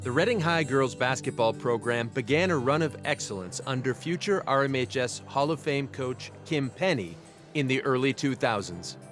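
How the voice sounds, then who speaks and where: A man reading a scripted tribute narration in a measured, formal way. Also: mid-range voice, animated. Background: music.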